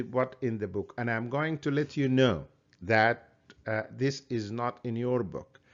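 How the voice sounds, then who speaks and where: A man's voice: mid-range voice, sharp tone, lo-fi sound. A man teaching biology with a Sudanese accent that leans American, hesitant and full of fillers and restarts as he explains his course plan. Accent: Sudanese English with an American lean. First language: Arabic. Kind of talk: recorded lecture introduction.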